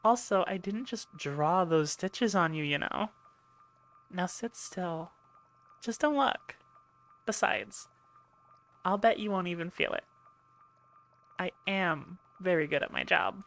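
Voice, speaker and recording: A woman acts out a female medic on an alien warship in an ASMR roleplay. Her voice sits a little low with plenty of creak, and she talks in a chatty, playful way, teasing and reassuring her patient. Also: animated, takes it slowly, long pauses, a bit bright, exaggerated voice. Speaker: audio roleplay voice actor. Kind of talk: ASMR roleplay, one-sided conversation.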